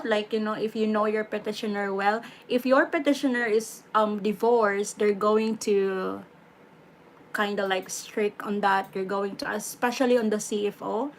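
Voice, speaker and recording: Slow-talking Filipino woman on a livestream. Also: medium pitch, slight background noise, rough recording. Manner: relaxed, chatty, advice-giving, mixes Tagalog words. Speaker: livestream host. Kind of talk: casual livestream chat.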